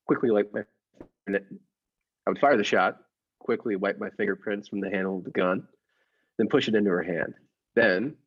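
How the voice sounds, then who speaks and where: A man playing a mild henpecked husband plotting murder in a radio play, measured in narration and hesitant in conversation. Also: on the low side, husky, lo-fi sound.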